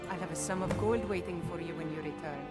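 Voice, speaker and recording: A woman's voice: fairly low pitch, quick pace, lightly acted. An actress voicing a fretful, plain-spoken widowed shopkeeper in a video game, with game music behind her. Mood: weary. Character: weary widowed shopkeeper woman, struggling.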